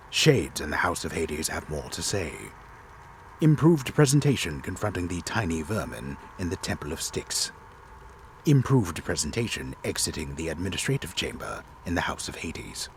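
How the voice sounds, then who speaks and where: Deep, theatrical male storyteller. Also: slight background noise, exaggerated voice. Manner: theatrical, deliberate, solemn delivery. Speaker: narrator. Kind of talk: dramatic reading, scripted game narration.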